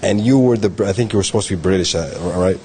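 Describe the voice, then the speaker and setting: A male interview host with a fairly low voice, eager and talkative. He cuts in with quick "yeah"s and stumbles over his words in his hurry to ask more. Friendly casual hosting. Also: mellow, a little hiss or hum.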